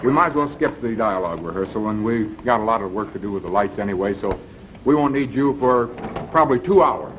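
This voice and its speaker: An actor playing a brisk, businesslike studio staffer in a 1950s comedy. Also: male voice, lowish voice, expressive, noisy background, natural voice. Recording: dull 1950s broadcast, audience behind.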